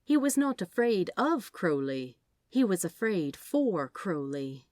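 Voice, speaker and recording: A woman's voice: on the low side, very animated, slow pace, darkish tone, no echo, studio quality. A woman reading fiction aloud as a narrator in a scripted storytelling style.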